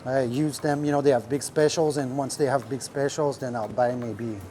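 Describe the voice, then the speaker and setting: Man talking shop, halting. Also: medium pitch, steady tone, mellow, slight background noise. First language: French. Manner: rambling, many fillers, searches for words. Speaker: restaurant owner. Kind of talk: casual podcast talk. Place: restaurant kitchen.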